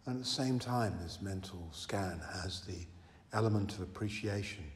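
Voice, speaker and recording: A man leading a guided meditation as a teacher, speaking slowly and gently with long unhurried pauses. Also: low voice. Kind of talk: guided meditation, slow deep-relaxation instruction. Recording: reverberant hall, some background noise.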